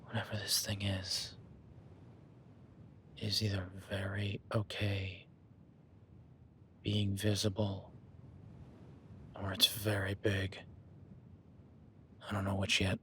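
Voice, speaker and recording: A man voicing a rugged, protective cowboy rancher in a listener-addressed audio roleplay, talking in a low-key, calm, instructive way that often drops to a hush. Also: takes it slowly, pauses often, slight background noise. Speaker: ranch hand tracking a beast.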